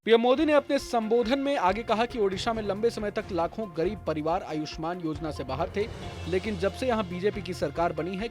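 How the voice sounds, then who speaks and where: Man, high-pitched, scripted news narration, loud background music. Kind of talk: scripted Hindi news voice-over. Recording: music bed under voice. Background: prominent background music.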